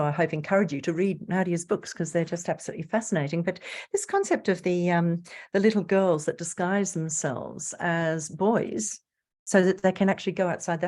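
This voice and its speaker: Woman, interviewer, enthusiastic, effusive, lower voice, video call. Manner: enthusiastic, effusive, animated pitch. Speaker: interviewer and fellow author. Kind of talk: conversational online interview.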